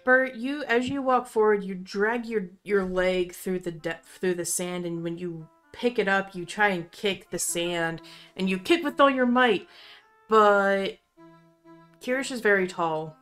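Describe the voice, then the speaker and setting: A woman running a tabletop roleplaying game as game master, narrating with slow, dramatic storytelling. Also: mid-range voice, a bit bright, faint music behind.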